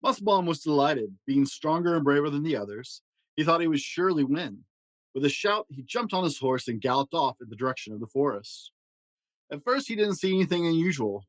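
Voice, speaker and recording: A man narrating a children's story aloud in an expressive, animated way with dramatic pauses. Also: fairly high pitch, dry recording. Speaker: podcast host reading a children's storybook aloud.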